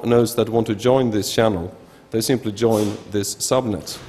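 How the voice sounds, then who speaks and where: A man in his thirties from Sweden, with a Swedish accent, giving an informal technical conference talk in a halting way, often restarting words. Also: fairly low pitch, a little mellow. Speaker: researcher presenting at a conference. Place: large indoor conference hall, at a lectern. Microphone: gooseneck lectern microphone. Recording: reverberant lecture hall.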